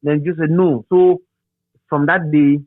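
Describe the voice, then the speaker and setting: A middle-aged Ghanaian man reminiscing as an interview guest in a halting, repetitive, animated storytelling manner. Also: on the high side, dry recording. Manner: halting, repeats words and phrases, animated storyteller. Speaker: interview guest, radio DJ and presenter. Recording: thin phone-like sound.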